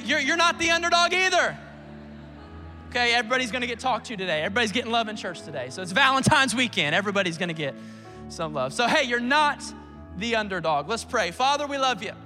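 A man in his late twenties, a pastor preaching a sermon from the pulpit in a church, energetic and playful with call-and-response to the congregation. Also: very high voice, in a large hall. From South Carolina. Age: 20s. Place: church sanctuary.

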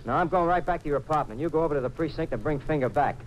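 A man's voice: on the high side, very fast, lightly acted. An American actor playing a streetwise, wisecracking young tough guy in a 1950s radio detective drama, with slangy, wry delivery. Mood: urgent. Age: young adult. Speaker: lead actor and narrator. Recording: muffled, noisy old radio recording.

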